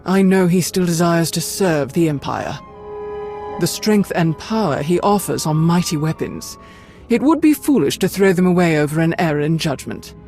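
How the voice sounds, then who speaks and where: An actor playing a formal, brisk male Imperial officer in a game cutscene. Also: on the high side, lively intonation, a little mellow, soft background music. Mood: cold.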